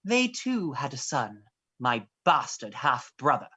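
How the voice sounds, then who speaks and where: A woman's voice: very deep voice, big pitch swings, slow pace, fairly bright tone, breathy edge, exaggerated voice. A woman playing a bitter, scheming disinherited male heir in a stage play reading, speaking formally and with insinuation.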